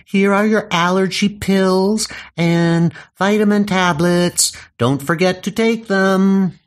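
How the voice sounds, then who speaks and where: A woman's voice: medium pitch, slow pace, natural voice. A woman playing a caring, fussy, mothering nurse in a comic audio drama. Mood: fussing. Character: fussy, caring female nurse to a frail doctor.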